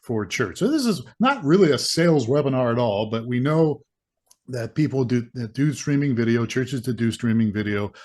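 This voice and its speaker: A man hosts a webinar over a video call, his voice pitched ordinarily. He keeps things light and upbeat, often restarting his sentences. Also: animated, moderate pace, a little mellow. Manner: stumbling restarts, upbeat filler like "all right", "there you go". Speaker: webinar host. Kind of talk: hosting a webinar.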